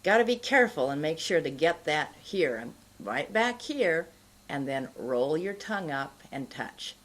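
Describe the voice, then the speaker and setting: A middle-aged woman giving an instructional pronunciation lesson to camera, enunciating deliberately and carefully. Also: sits low, sing-song. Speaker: pronunciation teacher.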